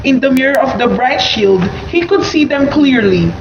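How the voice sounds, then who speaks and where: A woman's voice: high-pitched, expressive, normal speed. A woman narrator reading a scripted story for an amateur radio play. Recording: muffled, echoey room with background noise.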